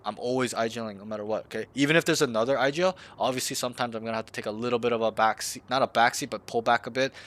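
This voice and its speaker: A man's voice: mid-range voice, a bit bright. A man coaching a player over voice chat, talking directly, acting out team callouts and swearing casually. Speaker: game coach.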